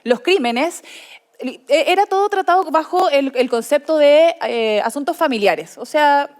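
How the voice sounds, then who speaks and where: A woman's voice: sits high, animated, measured pace. A woman with a Chilean accent co-hosting a live talk, explaining things hesitantly and searching for words. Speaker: event co-host.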